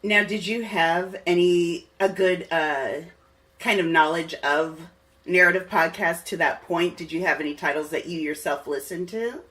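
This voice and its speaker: A woman's voice: on the low side, moderate pace, a bit bright. A woman hosting a podcast and asking questions in a conversational interview style.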